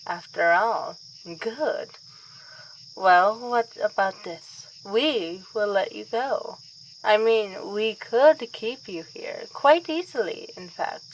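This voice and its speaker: A woman's voice: medium pitch, animated, slow pace, a little hiss or hum, rough recording. A woman playing a young vampire princess in an ASMR roleplay, with formal, theatrical, teasingly menacing speech. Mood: teasing menace. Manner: theatrical, formal, courtly phrasing.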